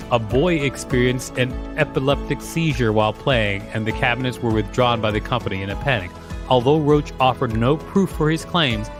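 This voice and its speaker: A man reads an encyclopedia article aloud for a podcast, in a steady, even delivery with now and then a relaxed, offhand aside. His voice sits at an ordinary middle pitch. Clear music plays underneath him throughout. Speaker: podcast co-host reading articles.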